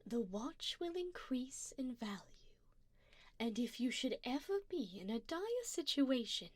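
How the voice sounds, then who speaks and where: A woman voicing a wealthy, possessive vampire sugar mommy in an ASMR roleplay, soft and breathy with whispers, refined, coaxing and gently commanding, close on a binaural mic. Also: high voice, very animated, unhurried, exaggerated voice. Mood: refined, persuasive. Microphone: binaural 3Dio microphone, close up.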